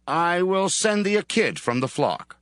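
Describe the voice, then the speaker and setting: An actor playing a solemn, commanding Hebrew patriarch in a scripture audio drama, with archaic phrasing. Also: male voice, high voice, expressive, unhurried, fairly bright tone, no echo, studio quality. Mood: bargaining.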